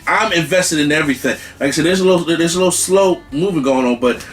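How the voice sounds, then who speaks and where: A man's voice: on the high side, fast, soft background music. A man hosting a casual movie review, rambling and emphatic, with slang and the odd curse. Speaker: movie reviewer, channel host.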